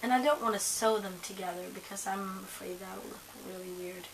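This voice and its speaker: A young woman with a hoarse voice from a cold, chatting casually to camera at home, rambling with lots of ums and likes. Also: medium pitch, steady tone, a little fast, slight background noise, poor audio. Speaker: vlogger.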